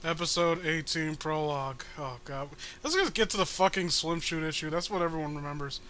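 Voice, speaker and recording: A man's voice: fairly high pitch, a little fast, bright, forceful tone, a little hiss or hum. A man co-hosting a comic read-along with casual, crude banter, swearing and rambling as he jokes. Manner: profane, joking, rambling.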